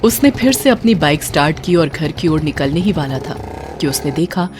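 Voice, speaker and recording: A woman's voice: sits low, expressive, moderate pace, a little mellow, soft background music. A woman narrating a scripted horror story in a dramatic storytelling style.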